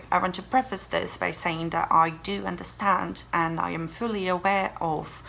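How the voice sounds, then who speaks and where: A woman's voice: on the low side, creaky, pushed and bright, a little hiss or hum, low-quality recording. A woman whose first language is Portuguese, talking through a book review in a casual vlog, emphatic and exasperated as she rants.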